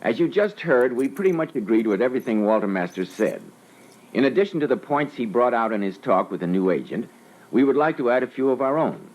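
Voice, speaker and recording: A man's voice: mid-range voice, lively intonation, fairly quick, darkish tone. A man speaking as a panel spokesman, giving scripted motivational instruction on a sales training record. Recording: dull, muffled old reel-to-reel tape.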